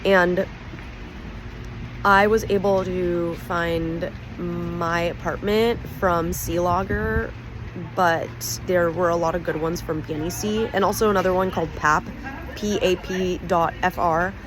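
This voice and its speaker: A woman from the United States, hoarse and raspy from bronchitis, chatting casually and digressively to camera outdoors on a street. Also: on the low side, steady tone, a little slow. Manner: chatty, digressive, thinking aloud. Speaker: expat vlogger. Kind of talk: casual vlog talk to camera. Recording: outdoor street noise behind.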